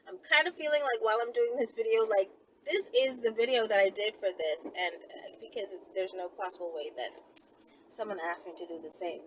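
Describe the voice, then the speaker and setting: A woman's voice: mid-range voice, a bit bright. A woman vlogger chatting casually to her viewers from inside a car, informal and digressive as she thinks aloud. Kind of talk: casual rambling chat to viewers. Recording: noisy car interior, clear vehicle noise.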